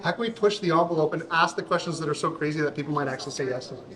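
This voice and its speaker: A middle-aged man from Canada with a big voice, a guest chatting casually at an awards reception, halting and repeating words as he thinks. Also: on the high side, a crowd in the background, low-quality recording. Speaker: interview guest, accountant. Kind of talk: casual event interview. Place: busy reception event.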